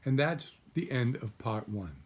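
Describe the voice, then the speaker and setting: A man in his sixties reading a children's storybook aloud like a grandfather, pausing to explain the pictures to small children. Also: medium pitch, lively intonation. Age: 60s. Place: small room at home, indoors.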